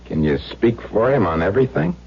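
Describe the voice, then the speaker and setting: Casting: a menacing street-tough extortionist, low swooping delivery, slangy taunts, radio play on a muffled recording. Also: male voice, sing-song, brisk, creaky, husky, exaggerated voice. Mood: smug menace. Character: crude blackmailing husband back from presumed death, slangy and menacing. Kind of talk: old-time radio drama acting. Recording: dull lo-fi radio recording, faint hum.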